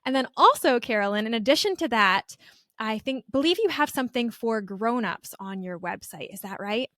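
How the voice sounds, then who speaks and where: A woman's voice: fairly high pitch, lively intonation, normal speed, fairly bright tone, no echo, studio quality. A woman hosting a podcast, interviewing a guest and making announcements in a conversational way. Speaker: podcast host.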